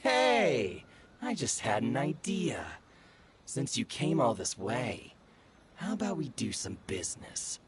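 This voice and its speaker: A man's voice: medium pitch, wildly swooping pitch, takes it slowly, a little hiss or hum, heavily acted. A man voicing a smug, thuggish bandit bully in a video game, taunting and menacing. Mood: smug menace. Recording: game music under the voice.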